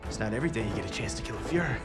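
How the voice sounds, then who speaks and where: An actor playing a cocky, wisecracking soldier in a video game. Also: male voice, lowish voice, animated, racing, close to an everyday voice. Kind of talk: cinematic video game acting. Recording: loud music over the voice.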